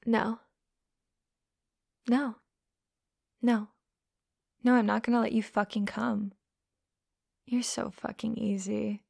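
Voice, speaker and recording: Girl playing a teasing domme, higher voice, slow drawn out whispers and hushing, cruel and smug. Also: flat delivery, creaky, no room sound, clean studio sound, full character voice. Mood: taunting.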